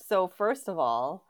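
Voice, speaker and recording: A congested woman co-hosting a podcast, chatting casually and giggling. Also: lowish voice. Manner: giggly, chatty with many fillers. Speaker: podcast co-host. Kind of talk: casual chat.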